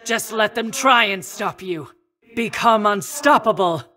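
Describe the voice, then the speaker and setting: A noble warrior goddess who speaks with stately command. Her voice is measured and protective. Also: medium pitch, pushed and bright. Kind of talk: video game voice lines.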